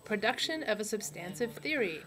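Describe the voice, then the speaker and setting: A deadpan puppet scholar, voiced by a woman in a medium-pitched voice. The delivery is a casual, read-out comic lecture, with other people audible in the background. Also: normal speed, crowd noise, lightly acted. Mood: lecturing. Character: dead male sociologist puppet lecturing from heaven, deadpan.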